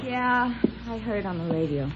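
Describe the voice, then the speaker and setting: A woman's voice: mid-range voice, expressive, normal speed, noisy background, natural voice. An actress playing a cold, fed-up boxer's girlfriend in an old radio drama, curt and sarcastic. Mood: indifferent. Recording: muffled vintage radio recording.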